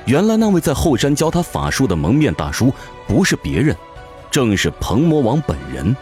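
A man's voice: medium pitch, wildly swooping pitch, normal speed, a little mellow. A man narrating a fantasy audio drama from a script, with intense, theatrical storytelling. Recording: music behind the voice.

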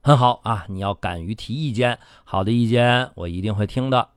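A man's voice: on the low side, normal speed, clean tone, no room sound, clean studio sound, natural voice. A man playing a bullying, cynical media boss in a radio drama, domineering and condescending, swearing and badgering with questions. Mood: smug, instructing.

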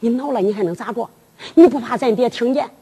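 A woman's voice: fairly high pitch, animated, a little slow, sharp tone, pitched below the performer's own voice, full character voice. A woman storyteller voicing an aggrieved but yielding young wife with a Henan accent and a plaintive manner. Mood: defiant. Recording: long reverberant hall echo.

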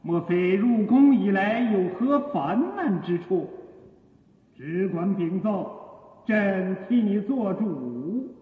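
A smug horny old-school chinese emperor, radio play, big swoopy drawn-out courtly lines, thin phone-like sound with long reverb. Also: male voice, sits high, animated. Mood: coaxing. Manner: pompous, drawn-out courtly speech. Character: lecherous, imperious Tang emperor, coaxing and self-satisfied. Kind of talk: theatrical Chinese radio drama acting. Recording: muffled phone-like audio, long echoing reverb.

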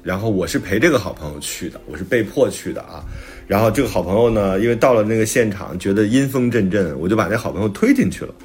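A man's voice: fairly low pitch, animated, quick pace, darkish tone, faint music behind. A man speaking casually, as a guest author talking on a show. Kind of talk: casual chat.

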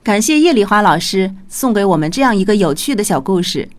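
A woman's voice: medium pitch, expressive, fairly quick. A woman giving a personal thank-you speech to listeners.

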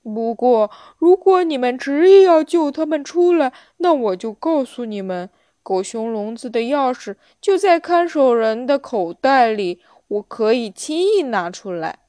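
Woman voicing a slow, wise storybook elephant. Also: high voice, expressive, warm tone, no echo, clean studio sound. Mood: calm, detached. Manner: slow, deliberate, measured delivery. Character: wise, calm, unhurried elephant, mildly philosophical. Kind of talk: children's storybook character voice.

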